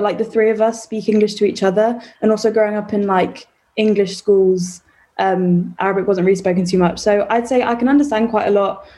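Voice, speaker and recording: Warm-toned enthusiastic young woman. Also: mid-range voice. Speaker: guest. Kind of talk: casual chat.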